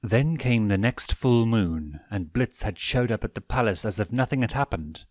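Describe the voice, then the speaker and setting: A man reading a story aloud in an expressive audiobook narration style. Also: fairly low pitch, no room sound, studio quality. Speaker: fanfiction narrator.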